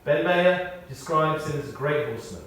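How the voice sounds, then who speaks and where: A man reading a prepared tribute aloud in short, measured phrases, with wry storytelling. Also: a little high, animated, fairly bright tone. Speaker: tribute speaker. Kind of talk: read-out memorial tribute with anecdotes. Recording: echoey hall, distant, faint noise.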